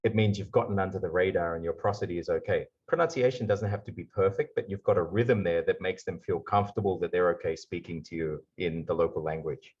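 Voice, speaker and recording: A man with an Australian accent giving a lively conference talk over a video call. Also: low voice, quick pace, few pauses, slightly rough, highs cut off. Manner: lively explainer, slipping into put-on accents for examples. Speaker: guest speaker.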